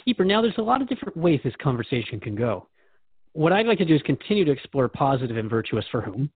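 Quick-talking male host on a phone-like line. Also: on the high side, big pitch swings, husky, dry recording. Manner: engaged, reflective, paraphrasing. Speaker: radio show host. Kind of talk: conversational interviewing and reflection. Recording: dry, thin phone-like band.

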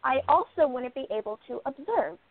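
A woman's voice: high-pitched, lively intonation, normal speed. A woman playing a curious, confident exiled princess turned sleuth in a radio play. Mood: firm. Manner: confident, insistent. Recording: thin phone-like sound, noisy line.

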